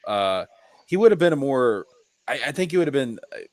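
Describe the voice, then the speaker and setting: A man's voice: medium pitch, very animated, a little fast, darkish tone, dry recording. A man in a casual discussion with friends, talking emphatically and restating his points as he gives his opinions. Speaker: podcast panelist.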